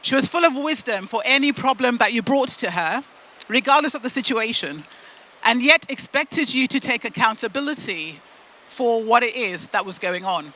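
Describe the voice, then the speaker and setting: A woman from the UK and Zimbabwe, a native Shona speaker, giving a motivational storytelling talk with deliberate phrasing and emphatic pauses. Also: fairly high pitch, lively intonation, pushed and bright, poor audio. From United Kingdom and Zimbabwe. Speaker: keynote speaker at a business event.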